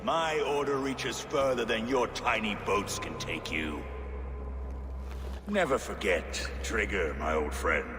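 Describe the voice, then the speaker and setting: An actor playing a bitter, grandiose villain of a secret order in a video game, with a menacing, theatrical way of speechifying. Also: male voice, lowish voice, expressive, slow. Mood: grandiose, threatening. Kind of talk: dramatic video game cutscene acting. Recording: music behind.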